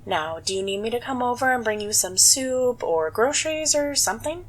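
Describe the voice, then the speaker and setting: An actress playing a nurturing, gently fussing middle-aged mother in a comic dub. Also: on the high side, lively intonation, moderate pace. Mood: caring. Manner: nurturing, reassuring. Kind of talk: dramatic comic dub acting.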